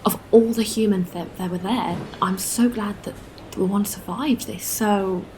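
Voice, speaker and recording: A woman's voice: medium pitch, steady tone, measured pace, darkish tone, slight background noise, lightly acted, rough recording. A woman playing a friendly, eager alien spaceship captain in a science-fiction audio drama, talking casually. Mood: friendly, hopeful. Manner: casual, chatty. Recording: faint background ambience. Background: faint ambience.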